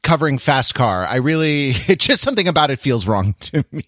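A man's voice: medium pitch, big pitch swings, brisk, bright, forceful tone, dry recording, studio quality. An American man hosting a podcast with casual comedic banter, rambling and playful as he goes. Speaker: podcast host.

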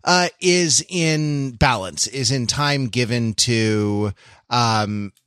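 A man hosting a casual podcast discussion, talking in a rambling, halting way and often repeating words mid-sentence. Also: mid-range voice, fairly bright tone, clear, resonant tone, dry recording, studio quality. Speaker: podcast host.

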